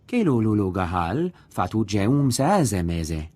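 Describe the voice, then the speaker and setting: A man voicing a husky alien dealer in a video game. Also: on the low side, very animated, measured pace, darkish tone, no echo. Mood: animated.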